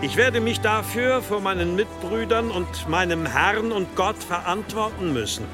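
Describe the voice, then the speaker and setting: Slow, solemn, high-pitched abbot. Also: male voice, expressive, music in the background. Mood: pleading, earnest. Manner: formal, pleading, preacherly. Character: solemn monastery abbot preaching to hostile villagers. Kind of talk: audio drama acting.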